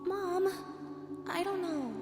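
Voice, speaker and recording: A woman plays a worried young schoolgirl in an audio drama. The voice is very high and hesitant, washed in long reverb, with music underneath. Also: slow pace, a little mellow. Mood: anxious, reluctant. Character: anxious, hesitant preteen schoolgirl.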